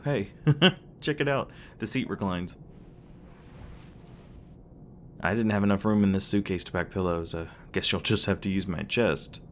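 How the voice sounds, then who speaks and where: A man's voice: sits low, a bit bright, slight background noise. A man playing a flustered, tender demon boyfriend in an intimate ASMR roleplay, speaking hesitantly with stammers, bashful and a little defensive. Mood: tender, sleepy. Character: flustered, tender young male demon boyfriend, secretly human-born.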